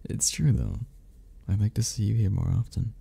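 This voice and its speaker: A man acting a low, breathy, gravelly flirt. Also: heavily acted. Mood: flirty, teasing. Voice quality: breathy, gravelly, often dropping to a whisper. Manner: soft, slow, teasing, with frequent chuckles. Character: flirty, gentle male cuddle club member, teasing and reassuring. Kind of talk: intimate romantic ASMR roleplay.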